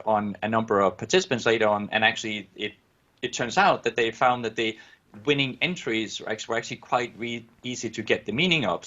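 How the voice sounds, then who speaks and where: A man's voice: lowish voice, pushed and bright. A man with a Danish accent, a native Danish speaker, explaining research in an interview, with many fillers and restarts. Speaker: guest researcher. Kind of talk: academic interview answer. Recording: dull lo-fi audio.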